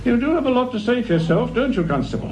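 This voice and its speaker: An actor playing an imperious, curt research director in a British radio crime drama, with an English accent and a pompous, condescending manner. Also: male voice, extremely high pitch, very animated, fast talker, a crowd in the background. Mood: haughty, irritated. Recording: roomy, muffled, slightly noisy radio recording.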